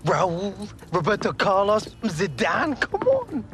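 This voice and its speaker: An animated young British footballer. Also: male voice, sits high, slow, full character voice. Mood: excited. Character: eager, earnest teenage male footballer. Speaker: voice actor. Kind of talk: video game cutscene acting.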